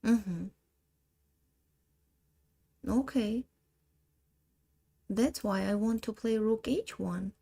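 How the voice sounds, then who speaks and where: Slow, gravelly Armenian woman streamer. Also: mid-range voice, warm tone. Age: 30s. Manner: thinks aloud, slow halting English. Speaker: chess streamer. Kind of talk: live chess puzzle stream.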